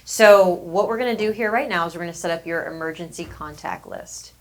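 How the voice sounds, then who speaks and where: A woman with a fairly low voice walks a client through a sales form. She explains things patiently and repeats herself to reassure them. She talks at a steady, friendly pace. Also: brisk, slight background noise. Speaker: insurance agency manager. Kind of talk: sales role-play.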